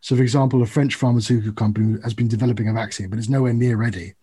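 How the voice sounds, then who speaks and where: A man's voice: mid-range voice, steady tone, racing, dark tone, breathy edge. A man in casual discussion, earnest and hedging, explaining his points with examples.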